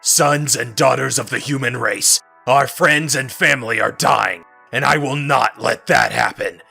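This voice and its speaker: An actor playing a gruff older heroic commander in an audio drama, forceful and blunt, with a rough, gravelly voice. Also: male voice, mid-range voice, a little slow, heavily acted. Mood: resolute, rousing. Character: gruff, defiant heroic older military commander, part synthetic. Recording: music under the voice.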